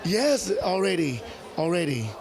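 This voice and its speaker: High-pitched male event host. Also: big pitch swings, music in the background. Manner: halting non-native English, repeats himself. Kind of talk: live event interviewing. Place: busy public forum venue. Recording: busy crowded event hall chatter.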